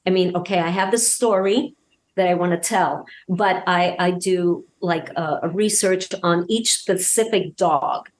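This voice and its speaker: A woman talking casually as an interview guest and telling anecdotes, emphatic and halting, often repeating words as she goes. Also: lowish voice. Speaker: podcast guest, audio drama creator and voice actor.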